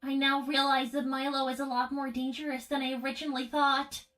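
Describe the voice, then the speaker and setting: Woman as a high-voiced, solemn little robot girl. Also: little pitch movement, fairly quick, fairly bright tone, soft background music. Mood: hopeless. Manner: formal, precise, subdued. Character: young girl robot, solemn, withdrawn, despondent little sister. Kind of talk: improvised in-character livestream roleplay.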